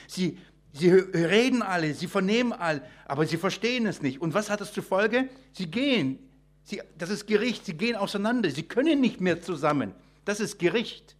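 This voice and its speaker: A male preacher with a slightly high voice delivers an unscripted expository sermon. He drives points home with repeated phrases and rhetorical questions, often breaking off and restarting a sentence as he goes. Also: expressive, moderate pace. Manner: emphatic, with rhetorical questions and repetition.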